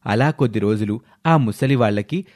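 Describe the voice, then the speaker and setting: A man's voice: on the low side, moderate pace, darkish tone. A man narrating a scripted moral story as a storyteller.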